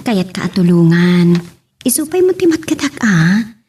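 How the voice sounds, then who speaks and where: A woman's voice: medium pitch, sing-song, normal speed, darkish tone, slightly rough. A woman playing an earnest married mother set on working abroad, in an audio drama. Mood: persuading. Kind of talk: amateur radio-style drama acting.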